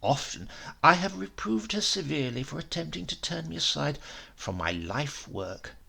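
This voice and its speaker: A man's voice: fairly high pitch, very animated, normal speed, gravelly, bright, forceful tone, breathy edge, exaggerated voice. A man voicing a callous, obsessed Italian professor in a horror story, pompous and theatrical. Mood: dismissive, disdainful.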